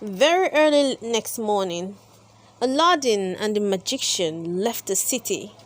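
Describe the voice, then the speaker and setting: A woman reading a children's story aloud as host, with deliberate, dramatic emphasis. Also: medium pitch, animated. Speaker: storybook reader and channel host. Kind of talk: expressive children's read-aloud narration.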